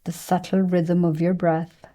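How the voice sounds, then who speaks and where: A woman teacher leading a guided meditation, speaking in a gentle, soothing way with unhurried pauses between phrases. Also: lowish voice, flat delivery.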